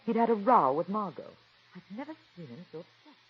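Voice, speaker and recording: An actress in 1940s radio acting, playing a middle-aged theater woman who narrates her story in a soft, gentle voice, on a dull old radio broadcast. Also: mid-range voice, lively intonation, a little fast, breathy edge. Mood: reflective.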